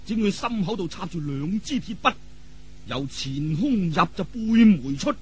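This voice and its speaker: A man's voice: high-pitched, animated, moderate pace, breathy edge. A man telling a martial arts tale in Cantonese in a theatrical, colloquial traditional storytelling style, full of vivid exclamations and spoken sound effects. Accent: Hong Kong or Guangdong Cantonese.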